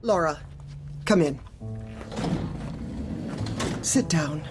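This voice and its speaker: An actress playing a formal, measured female corporate manager who is callous beneath polite, false sympathy, in a dark comedy audio drama. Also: low voice, animated, music in the background, exaggerated voice. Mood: falsely sympathetic.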